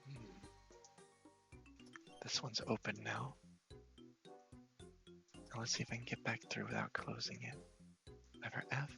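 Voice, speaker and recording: A man giving soft, whispery ASMR commentary over a game, casually thinking aloud in a low-key way. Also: steady tone, unhurried, pauses often, faint music behind. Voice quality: soft, breathy, often whispery. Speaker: gaming video host.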